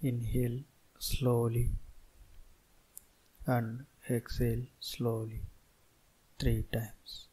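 A man guiding a meditation in a soothing, deliberate manner, speaking in short phrases with long pauses. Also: mid-range voice, completely flat delivery, dark tone, breathy edge, rough recording. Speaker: meditation guide. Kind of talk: guided meditation instruction.

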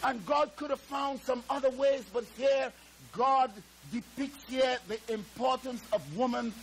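A man in his seventies, from Trinidad, preaching a sermon on a church stage through a headset mic, stretching words and breaking into shouted exclamations. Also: very high voice, a little slow, a little hiss or hum, low-quality recording. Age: 70s. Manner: moves and gestures around the pulpit. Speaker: pastor. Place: church stage with drum kit.

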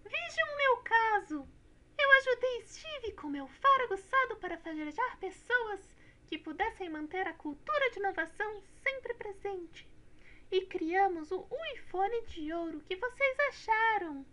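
A woman voicing an eager, boastful talking dog in a children's cartoon, playful and excitable. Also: very high-pitched, unhurried, pitched above the performer's own voice, exaggerated voice. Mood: proud.

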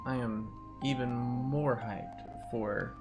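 A man's voice: mid-range voice, steady tone, slow. A young man hosting a gaming video, talking casually to his viewers on a headset mic. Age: young adult. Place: indoors, home gaming setup. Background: game music.

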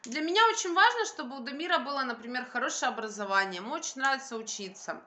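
A woman's voice: sits high, animated, fast, bright, forceful tone. A young woman vlogger chatting casually to her phone indoors, talking emphatically and colloquially with repeated phrases. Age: young adult. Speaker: vlogger and mother. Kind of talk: casual chat to camera. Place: indoor room by a window. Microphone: phone at arm's length.

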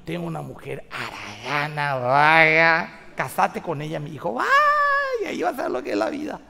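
A man in his forties with a Paraguayan accent, preaching a sermon on a church stage, acting out dialogue with lively gestures. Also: fairly high pitch, creaky. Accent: Paraguayan Spanish. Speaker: pastor. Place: large church stage with live congregation. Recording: church hall reverb.

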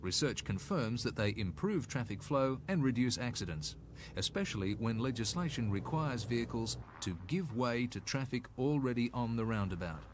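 Australian man, mid-pitched, scripted educational narration, instructive with folksy touches, faint traffic noise on an old film soundtrack. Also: animated, normal speed. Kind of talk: scripted narration, 1980s road safety film.

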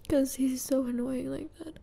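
A woman playing an anxious, grieving young adult in an ASMR roleplay, speaking in a hushed, breathy, halting way. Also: high-pitched, steady tone. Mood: anguished, worried. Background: hospital ambience.

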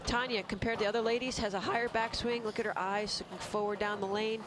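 A man with a fairly high voice explains bowling technique shot by shot, analytical and detailed. Clear background noise runs under him. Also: fairly even intonation, fast talker. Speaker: color commentator. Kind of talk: analytical sports commentary.

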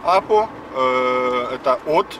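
A man's voice: medium pitch, animated, slow. A man in his fifties, host of a casual spoken lesson, explaining words one by one while driving. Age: 50s. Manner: chatty, explains word by word. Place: car on the road. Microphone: dashboard camera. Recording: distant car mic with road noise.

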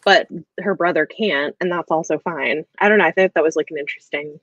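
Woman, thirties, book livestream host: lowish voice, rambling and informal, close dry sound with slightly muffled video-call quality. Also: fairly quick, fairly bright tone, dry recording. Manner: hesitant, rambling with frequent "like". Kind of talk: casual livestream book chat. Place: small room at home, video call. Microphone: earbuds on a video call. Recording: dull video-call audio.

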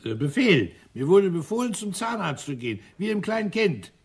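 An actor playing a grumpy, gloomy middle-aged police inspector in a radio crime drama, grumbling and blustering. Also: male voice, high voice, big pitch swings, a little fast, breathy edge, exaggerated voice. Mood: gruff, agitated. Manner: grumbling, blustering, self-deprecating.

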